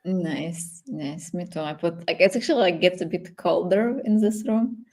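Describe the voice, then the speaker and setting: A woman with a Ukrainian accent chatting casually as she hosts a live technical workshop, feeling her way through sentences in halting phrases. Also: fairly low pitch, a little mellow. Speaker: workshop host.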